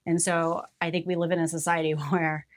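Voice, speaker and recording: Encouraging woman host, slightly low voice. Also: little pitch movement, a little fast. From Mexico, Missouri. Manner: engaged, encouraging, asks follow-up questions. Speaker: interviewer, host. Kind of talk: conversational interview.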